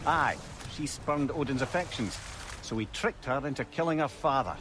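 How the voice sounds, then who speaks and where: An actor playing a talkative, learned old counsellor in a video game, with an eloquent, theatrical way of talking. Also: male voice, mid-range voice, moderate pace, noisy background, natural voice. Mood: wry storytelling. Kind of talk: video game dramatic acting.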